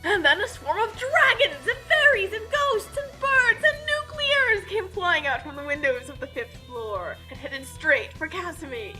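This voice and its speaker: Female narrator for a comic adventure, bright and high-voiced, tongue-in-cheek and casual. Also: big pitch swings, measured pace, few pauses, faint music behind, poor audio. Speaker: storyteller. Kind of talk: animated comedic scripted narration.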